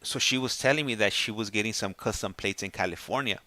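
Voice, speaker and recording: A middle-aged man hosting a show, chatting casually and telling stories in a halting, repetitive way. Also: fairly low pitch, sharp tone, no room sound. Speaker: host of a prison call show. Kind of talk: casual chat.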